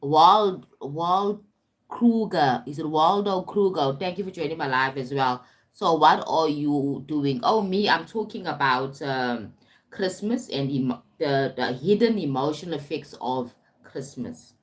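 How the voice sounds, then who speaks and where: A woman's voice: sits low, expressive, measured pace. A woman with a South African accent giving an informal motivational livestream talk, emphatic, repeating phrases and often checking in with the listener. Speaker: life coach and motivational speaker livestreaming.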